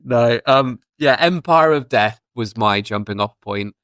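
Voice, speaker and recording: A man's voice: medium pitch, measured pace, fairly bright tone, no room sound. A man in his thirties chatting casually and giving his opinions as a podcast guest. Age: 30s.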